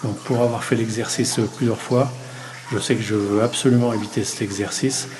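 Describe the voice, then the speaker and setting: A middle-aged village mayor from Normandy, telling his story in a halting way with many pauses and restarts. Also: lowish voice, steady tone, background noise. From Normandy, France. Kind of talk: interview testimony.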